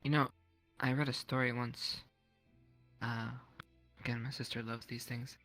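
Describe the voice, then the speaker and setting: A man's voice: medium pitch, level tone, measured pace, sharp tone, dry recording. A man playing a polite, hesitant townsman in an improvised fantasy roleplay, answering deferentially. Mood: thoughtful, wary. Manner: polite, hesitant, throat-clearing, short replies. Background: music.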